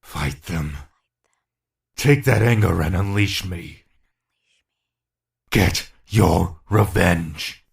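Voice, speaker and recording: A man voicing a sinister dark power in an audio drama, commanding and coaxing toward vengeance. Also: low voice, drawn-out words, gravelly, long pauses, exaggerated voice.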